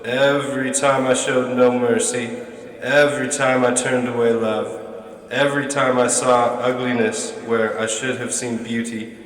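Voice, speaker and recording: A man acts out a sorrowful male ghost in chains for a radio drama. He speaks very slowly and solemnly, sounding distant in a long, echoing reverb. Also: mid-range voice, little pitch movement, a bit bright. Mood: mournful. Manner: slow, solemn, drawn-out delivery. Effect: heavy ghostly reverb. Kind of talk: stage-like radio drama acting.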